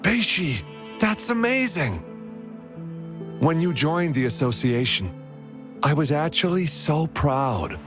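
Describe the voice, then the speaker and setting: Caring young man with expressive delivery. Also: fairly high pitch, slow pace, long pauses, over music. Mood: proud, apologetic. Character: apologetic, caring young man, gentle and proud. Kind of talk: English video game dub acting.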